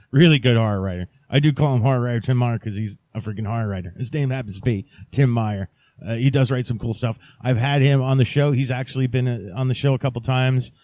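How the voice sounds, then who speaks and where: A man's voice: medium pitch, a little mellow, no room sound. A man hosting a podcast solo, talking casually and enthusiastically with frequent digressions. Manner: informal, digressive, enthusiastic about indie writers. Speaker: podcast host.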